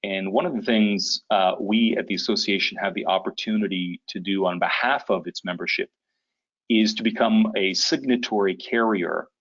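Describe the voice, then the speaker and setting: Low-voiced man hosting a conference call. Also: normal speed, a bit bright, lo-fi sound. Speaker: conference call host, association leader. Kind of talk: informal business briefing. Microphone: conference call connection.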